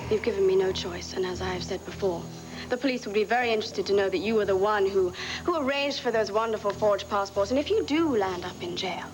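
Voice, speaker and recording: A woman's voice: medium pitch, fast talker, over music, natural voice, low-quality recording. An actress playing a scheming woman who coaxes, pleads and makes veiled threats in a daytime soap scene. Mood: threatening. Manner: silky, coaxing, veiled threats. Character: scheming woman pressing for a hotel job.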